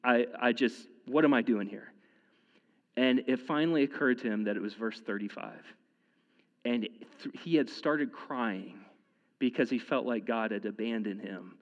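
A man's voice: mid-range voice, normal speed, long reverb tail, highs cut off. A man in his thirties preaching a sermon in a casual, conversational way, often restarting his sentences and using fillers. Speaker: pastor.

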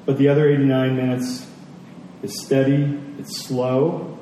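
A man's voice: mid-range voice, flat delivery, a little slow, dark tone. A man preaching in a conversational teaching style, earnest, reading scripture, asking rhetorical questions and repeating phrases for emphasis. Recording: very echoey hall, distant mic, faint noise.

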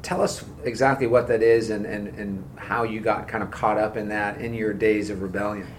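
A man hosting a church podcast, interviewing a guest in a friendly, halting way with frequent fillers. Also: lowish voice, fairly even intonation, a little hiss or hum. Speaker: podcast host. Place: Charleston, South Carolina church.

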